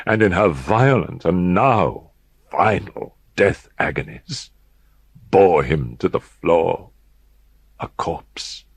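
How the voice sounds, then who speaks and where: A man narrating a gothic horror audiobook in a grave, measured, dramatic manner with deliberate pauses for emphasis. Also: deep voice, breathy edge, no echo.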